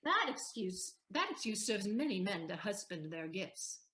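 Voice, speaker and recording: A woman acting a shrewd, poised young lawyer in a Shakespearean audio play, speaking formally and deliberately with a teasing edge. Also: medium pitch, big pitch swings, bright, forceful tone, reverberant. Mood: teasing, insistent. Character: shrewd, poised Portia disguised as a male lawyer.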